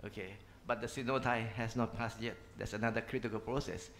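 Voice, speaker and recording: A man on a discussion panel offering wry, amused remarks. His voice sits at a middle pitch, and there is faint room noise behind him. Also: fairly even intonation, a little fast, a bit bright. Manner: wry, chuckling asides. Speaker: panel speaker.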